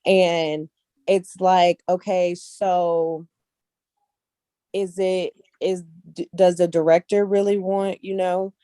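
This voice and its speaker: A woman's voice: on the low side, little pitch movement, slow pace, warm tone, clean tone, dry recording, clean studio sound. A woman speaking casually as an interview guest, repeating points for emphasis and often checking that the listener follows. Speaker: interview guest, casting director and actress.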